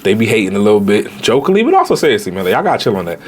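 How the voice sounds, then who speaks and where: A man in his late twenties, a travel vlogger giving casual, animated budget travel advice straight to viewers, with slang. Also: on the low side, racing, breathy edge. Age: 20s.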